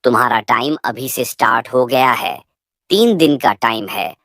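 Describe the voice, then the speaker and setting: Woman as very low-voiced menacing crocodile. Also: moderate pace, a bit bright, no room sound, studio quality. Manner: threatening, commanding. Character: menacing, scheming male crocodile, ruthless and boastful. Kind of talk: children's animal moral-story cartoon.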